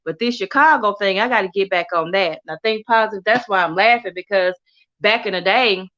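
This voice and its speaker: Lively Texan woman livestream host. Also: mid-range voice, brisk, muffled recording. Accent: Southern US, Texas. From Texas. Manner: animated, laughs often, chatty and warm toward viewers. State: coughing from allergies. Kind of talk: casual livestream chat reading comments.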